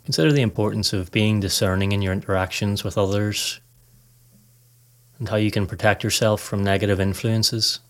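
A man with a fairly low voice leads a guided meditation from a script. His delivery is calm and measured, with very little rise and fall in pitch. He gives gentle instructions as a podcast host would to listeners.

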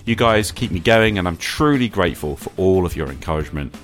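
Deep-voiced British man hosting a podcast. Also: animated, fairly quick, faint music behind. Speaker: podcast host. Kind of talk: casual interview chat and sign-off.

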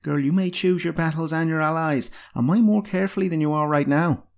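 A man's voice: medium pitch, lively intonation, brisk, creaky, darkish tone, voice pushed up. A man voicing an old female healer, a wry and stern but tender mistress with a dry, teasing, commanding way of talking. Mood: bossy, sardonic. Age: older.

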